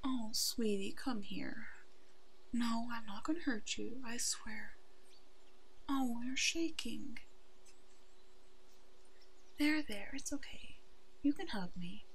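A woman voicing a brave, protective werewolf in an ASMR roleplay, soothing and halting, with a soft, hushed delivery. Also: on the high side, slight background noise, low-quality recording. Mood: tender, protective.

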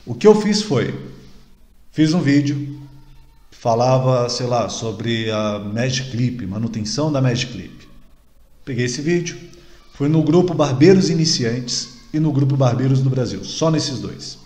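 A man's voice: medium pitch, expressive, measured pace, a little mellow. A man in his thirties with a Brazilian accent giving an informal, emphatic instructional talk, full of questions and repetition. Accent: Brazilian Portuguese. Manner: conversational, emphatic, uses rhetorical questions and repetition. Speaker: marketing speaker for barbers. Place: small home room, indoors. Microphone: desk microphone near webcam. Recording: roomy echo.

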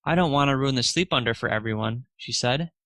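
Guy reading a kids storybook out loud, really bright crisp tone, dry close sound, acts out the dialogue. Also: medium pitch, a little fast, no echo. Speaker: narrator reading a children's story. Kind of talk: read-aloud children's storybook narration.

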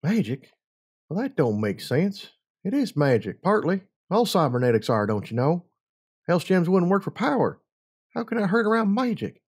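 Man doing a little filly, high and curious, soft worried questions, storybook read. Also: sing-song, moderate pace, husky, heavily acted. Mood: puzzled. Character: small young filly, curious and sweet.

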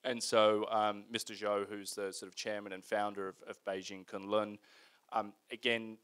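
A middle-aged man speaking as a guest in an on-stage interview, phrasing things in a measured, hesitant way with asides, into a handheld microphone. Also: lowish voice, level tone, fairly bright tone, smooth. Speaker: guest, company founder. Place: indoor event stage in front of a screen.

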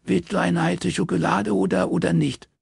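A man voicing a gruff, blunt adult son with an abrupt way of talking. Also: high-pitched, little pitch movement, normal speed, darkish tone, no echo, clean studio sound, voice pushed up, full character voice.

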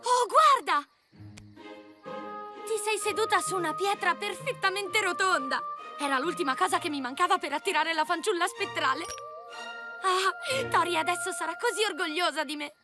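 Earnest cartoon girl, very high-pitched. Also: normal speed. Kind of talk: animated cartoon dub acting. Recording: music behind the voice.

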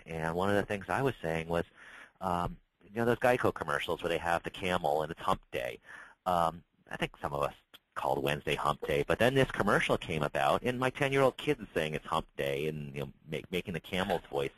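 A man's voice: deep voice, fairly bright tone, dry recording, poor audio. A middle-aged man, a trade association spokesman, answering webinar questions in a conversational way with many restarts. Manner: conversational, frequent restarts and repeated words.

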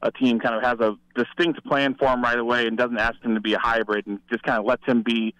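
A man's voice: mid-range voice, level tone, quick pace, a bit bright, no echo, rough recording. A man giving conversational football analysis as a guest sports reporter.

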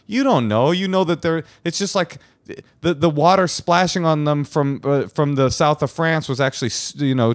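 A man's voice: a little high, no room sound. A man co-hosting a podcast, giving rambling, jokey advice and quoting sayings into a handheld mic in a living room studio. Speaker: podcast co-host. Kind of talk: casual advice chat. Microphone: handheld mic, headphones.

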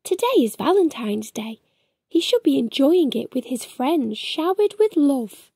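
A woman's voice: high-pitched, sing-song, measured pace, mellow, no room sound, clean studio sound, full character voice. A woman voicing a kind, encouraging little girl in a storybook, sweet and coaxing. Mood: hopeful.

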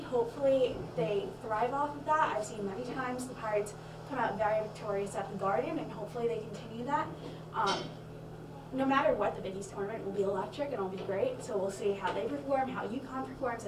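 A young woman co-hosting a student basketball recap, chatty and reeling off stats. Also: on the high side. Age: young adult. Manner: chatty, reels off stats with fillers. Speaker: student sports analyst co-host. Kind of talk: postgame basketball analysis. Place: small studio with stadium backdrop. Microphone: handheld mic. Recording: echoey room, mic far, crowd noise behind.

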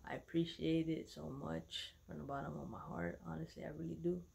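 A woman in her thirties with a low, gravelly voice, talking to her followers from home. She is from Dallas, Texas and keeps it loose and slangy, rambling along with plenty of fillers. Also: flat delivery, fairly quick, darkish tone. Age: 30s. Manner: laid-back, slangy, rambling with many fillers. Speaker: vlogger. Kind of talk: casual vlog chat to followers.

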